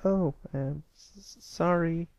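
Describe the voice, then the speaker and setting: A man's voice: a little high, slow pace, creaky, a little mellow, dry recording, pitched above the performer's own voice. A man voicing a timid, sad young colt pony who speaks hesitantly and stammers, in a storybook reading. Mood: nervous, apologetic.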